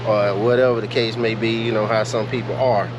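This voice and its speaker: A man's voice: lowish voice, fairly quick. A man vlogging casually about his vehicle project, talking in a rambling way with lots of filler sounds and restarts. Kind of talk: casual talk to camera. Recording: noisy, with steady hum behind.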